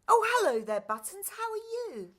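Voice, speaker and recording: A woman's voice: very high voice, big pitch swings, normal speed, pitched above the performer's own voice. A woman playing a wistful, polite young girl in a musical radio play. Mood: friendly greeting.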